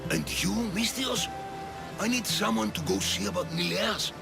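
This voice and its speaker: A frank, disapproving quest-giver in a video game. The voice is creaky and very expressive in pitch. Music plays clearly behind. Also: male voice, moderate pace. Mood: frank, concerned. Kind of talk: video game cutscene acting.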